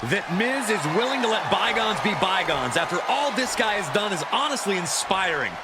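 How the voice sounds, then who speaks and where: A man playing a smug, bombastic wrestling commentator who relishes villainy in a video game, shouting over a very noisy arena crowd. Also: high-pitched, very animated, moderate pace.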